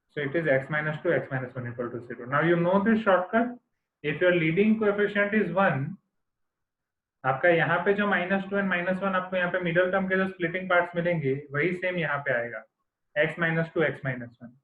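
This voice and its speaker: A man's voice: a little high, a little hiss or hum. A male maths teacher with an Indian accent teaching an online class, mixing in Hindi and checking in with students often. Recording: echoey tinny online class audio.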